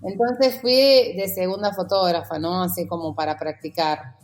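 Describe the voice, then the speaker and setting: A middle-aged woman from Rio de Janeiro with a Buenos Aires accent, telling personal stories as an animated podcast guest. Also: on the low side, normal speed, soft background music. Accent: Buenos Aires porteño Spanish. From Rio de Janeiro, Brazil. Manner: animated storyteller, acts out quoted conversations. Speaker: podcast guest, wedding photographer. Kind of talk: casual interview storytelling.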